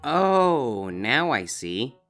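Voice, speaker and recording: A man voicing a greedy, excitable young male griffin student in a fan fiction story, loud and halting. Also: medium pitch, faint music behind, voice pushed up, exaggerated voice. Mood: slow, eager. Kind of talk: character voice in a fan fiction reading.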